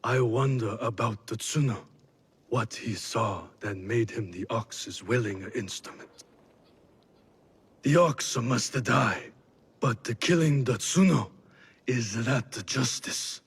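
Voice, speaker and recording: An actor playing a weary, remorseful leader in a video game, speaking gravely with a husky, gravelly voice and halting delivery. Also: male voice, medium pitch, heavily acted. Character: weary, remorseful, solemn male leader doubting his cause. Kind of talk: dramatic video game acting.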